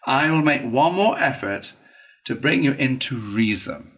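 A man plays a stern, fatherly old badger in a children's storybook. He lectures gravely and eloquently, with slow, deliberate phrases and broad rises and falls in pitch. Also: animated, a bit bright. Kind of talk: storybook character reading.

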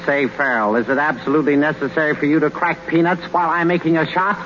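An actor playing an irritable, sarcastic American ballplayer in a 1930s radio comedy. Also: male voice, on the high side, fairly even intonation, fast talker, a bit bright, lightly acted. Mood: irritated. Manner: exasperated, wisecracking. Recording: dull, faintly noisy 1930s radio recording.

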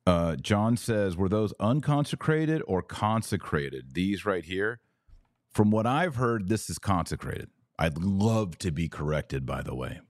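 A man's voice: low voice, lively intonation, measured pace, no room sound, studio quality. A man hosting a podcast, giving live commentary and interviewing. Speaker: podcast host.

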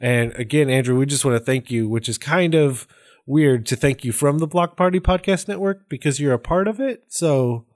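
A man's voice: mid-range voice, moderate pace, darkish tone. A man hosting a casual podcast interview, talking in an effusive, somewhat rambling way.

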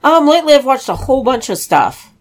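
A woman co-hosting a podcast, her voice slightly scratchy, talking about films in a relaxed way with dry humor. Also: sits high, fairly quick, faint music behind. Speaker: podcast co-host. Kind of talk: casual chat about horror films.

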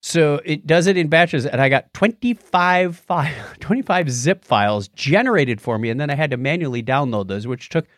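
A man hosting a casual tech podcast, telling stories in an animated way and acting out reactions as he goes. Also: fairly high pitch, normal speed, hardly any pauses, no room sound. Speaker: podcast host.

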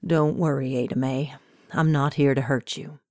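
A woman playing a polite, mysterious young man, softly and unhurriedly in a told story. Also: very deep voice, steady tone, dry recording, studio quality. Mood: gentle. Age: young adult. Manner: soft-spoken, polite, unhurried.

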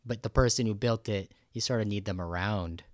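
A man hosting a podcast interview in a conversational style, halting and rambling as he thinks aloud. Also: fairly low pitch, no echo, studio quality. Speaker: podcast host and radio producer.

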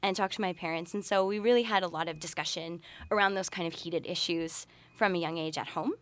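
A woman's voice: fairly low pitch, steady tone, a little fast, a bit bright, no room sound. A woman being interviewed casually as a podcast guest, open, articulate and matter-of-fact about personal experiences. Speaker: podcast guest, researcher who runs parties.

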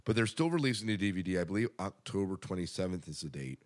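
A man's voice: deep voice, expressive, fast talker. A man hosting a podcast, chatting casually and making announcements in an enthusiastic manner. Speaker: podcast host.

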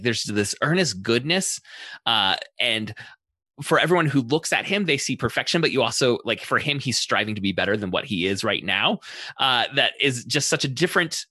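A man hosting a casual, analytical podcast discussion in a halting, thoughtful way. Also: mid-range voice, lively intonation, sharp tone, husky, no room sound, studio quality.

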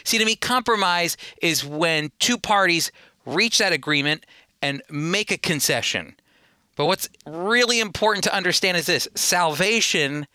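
Bright, forceful male Bible teacher. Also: on the high side, no echo. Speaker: radio host and Bible teacher. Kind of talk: conversational radio Bible teaching.

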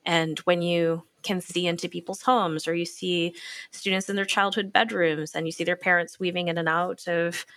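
A woman's voice: fairly low pitch, level tone, moderate pace, fairly bright tone, no room sound. A woman who writes criticism and teaches, speaking in a reflective conversation and searching for her words.

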